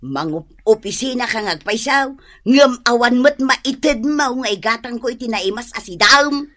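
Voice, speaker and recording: An actress playing a sharp-tongued, scornful woman who nags and scolds in a radio drama. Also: on the high side, lively intonation, measured pace. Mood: exasperated scolding.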